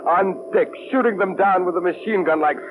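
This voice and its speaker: An actor playing a cold, sadistic pirate submarine commander in an old radio drama, clipped and menacingly polite, relishing cruelty. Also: male voice, high voice, brisk, narrow, tinny audio, over music, close to an everyday voice. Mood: gleeful excitement. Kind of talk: 1940s radio drama acting. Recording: noisy old radio recording.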